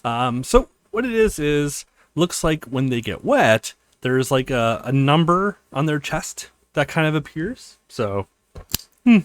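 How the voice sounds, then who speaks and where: A man giving casual, rambling commentary as host of a video game let's play, pausing hesitantly as he explains. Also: a little high, big pitch swings, dry recording.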